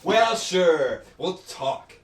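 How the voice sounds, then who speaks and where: A man's voice: lively intonation, very slow, distant mic, background noise, full character voice. A man playing a lovesick, emotionally unstable young student writer with a melodramatic delivery in a satirical radio play. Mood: brooding, theatrical. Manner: melodramatic, swinging from hushed to shrill. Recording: echoey room, off the mic.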